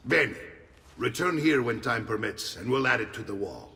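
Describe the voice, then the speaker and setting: An actor playing a solemn older Italian uncle and assassin mentor in a game, with an Italian accent and a weighty, paternal storytelling manner. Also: male voice, medium pitch, expressive, moderate pace, creaky, sharp tone, reverberant, big-room sound, distant mic. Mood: mysterious, grave.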